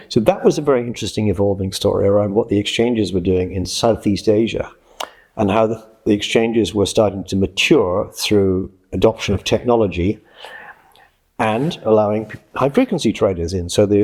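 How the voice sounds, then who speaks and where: Low-voiced man around sixty from Scotland. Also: darkish tone, breathy edge. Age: late 50s to early 60s. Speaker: interviewee, journalist and freelance writer. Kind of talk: reflective interview answers.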